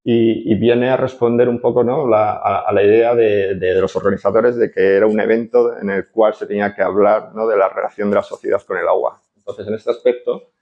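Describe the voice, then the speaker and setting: A man's voice: fairly low pitch, flat delivery, fairly quick, few pauses. A man from Barcelona giving a conference lecture, hesitant, full of fillers and tag questions. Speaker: architect giving a conference talk. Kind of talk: lecture to an audience. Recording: dull lo-fi conference audio.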